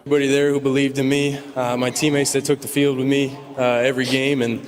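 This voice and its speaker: A young man speaks humbly and haltingly, pausing on fillers, in a flat, even tone. His voice carries a long reverb from the large awards hall around him. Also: mid-range voice. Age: young adult. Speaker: award winner giving a speech. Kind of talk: acceptance speech.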